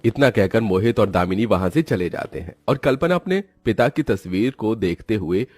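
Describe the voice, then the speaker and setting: A man's voice: fairly low pitch, animated, moderate pace, darkish tone, faint music behind. A man reading scripted storybook narration for a cartoon.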